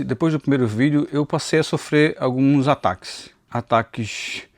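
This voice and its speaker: A man's voice: medium pitch, no echo, studio quality. A man with a mixed Recife and inland Pernambuco accent, giving an earnest, confessional devotional talk as a channel host, recorded on a phone camera. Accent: Recife, Brazil, mixed with inland Pernambuco. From Northeast Brazil. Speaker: Bible teacher and channel host.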